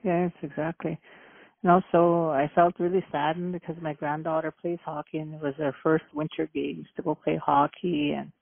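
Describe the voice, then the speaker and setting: A middle-aged woman from Manitoba, Canada, answering interview questions by phone in an earnest, halting way. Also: low-pitched, flat delivery, gravelly, no room sound. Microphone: telephone. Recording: tinny phone line.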